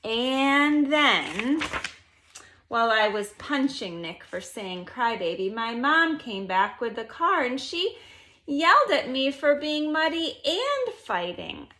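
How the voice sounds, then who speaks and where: A woman in her forties reading a children's picture book aloud expressively, with deliberate, emphatic phrasing. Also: high voice, sharp tone, slight background noise. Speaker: storybook reader. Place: living room at home, indoors.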